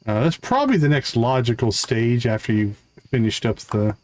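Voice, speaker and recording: A man's voice: medium pitch, animated, moderate pace, a little mellow. A man giving casual live gameplay commentary, easygoing and explanatory as he chats with his viewers. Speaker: live game streamer.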